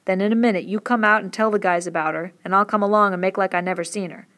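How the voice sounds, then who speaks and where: A woman voicing a weary, quiet ranch worker who speaks in a soft rural drawl. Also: mid-range voice, steady tone, fast talker, natural voice. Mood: urgent.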